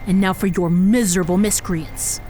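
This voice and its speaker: A woman's voice: on the low side, fairly even intonation, normal speed, dark tone, a little hiss or hum, close to an everyday voice. A woman playing a scornful, exasperated costumed superheroine in an audio drama, with grandiose heroic speech that slips into annoyance. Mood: righteous scorn.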